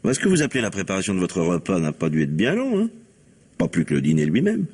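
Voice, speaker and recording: Sly male police commissioner, radio mystery play, ironic, insistent, lowish raspy voice, highly expressive pitch. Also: fast, exaggerated voice. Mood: dry, pressing. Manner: probing, ironic, pointedly repeating answers. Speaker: detective.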